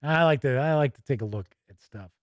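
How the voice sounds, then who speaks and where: A man hosting a tabletop gaming livestream, chatting casually with viewers in a folksy, jokey, animated way. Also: mid-range voice, brisk, no echo, studio quality.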